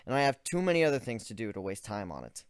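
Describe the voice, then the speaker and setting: A man's voice: fairly low pitch, big pitch swings, quick pace, smooth, no room sound, studio quality. A man voicing a young griffin inventor, analytical and weary, in a dramatic story reading. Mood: focused, explaining. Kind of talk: dramatic reading of first-person fan fiction.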